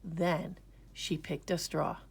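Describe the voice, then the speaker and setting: A woman reading a children's storybook aloud in an expressive narrating style. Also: sits low, slow, creaky, breathy edge. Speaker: storybook reader.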